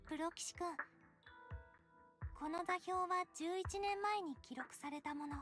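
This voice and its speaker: A woman's voice: very high-pitched, brisk, fairly bright tone, soft background music. An actress in a game voicing a small, frank young girl with a boyish way of speaking. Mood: earnest. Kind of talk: Japanese game voice acting.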